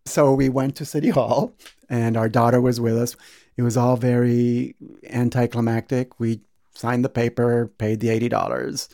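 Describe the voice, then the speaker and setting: A man's voice: mid-range voice, level tone, moderate pace. A man born in Cuba telling his own story in a good-humored way for a podcast. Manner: warm, good-humored, laughs lightly. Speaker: interviewee. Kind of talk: personal storytelling.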